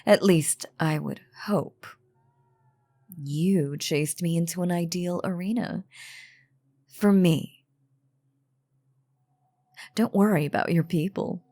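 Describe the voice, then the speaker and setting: A woman acts out an elegant vampire lady in a roleplay audio drama. Her low voice moves slowly through genteel, formal phrases, teasing and condescending with calm menace. The recording is close and dry. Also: dry recording, heavily acted. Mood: amused, menacing.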